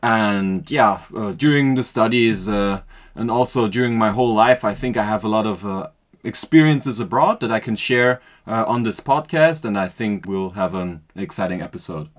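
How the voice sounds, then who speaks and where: A man, his voice sitting on the low side. He talks as a podcast guest, relaxed and rambling, full of fillers and restarts. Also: normal speed. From Austria. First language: German. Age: 20s. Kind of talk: casual podcast interview.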